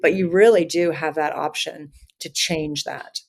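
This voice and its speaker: A woman's voice: low-pitched, fairly even intonation, measured pace, creaky. A woman in her fifties, a guest on a podcast interview, talking earnestly in long, run-on thoughts. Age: 50s. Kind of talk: podcast interview, casual conversation.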